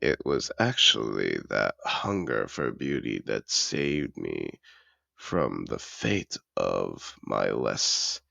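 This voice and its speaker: A man's voice: a bass voice, very animated, takes it slowly, vocal fry, bright, forceful tone, no room sound, heavily acted. A man playing a suave, possessive, wealthy dragon in human disguise, telling his story in a refined, theatrical manner in a scripted fantasy audio roleplay. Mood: suave, self-satisfied.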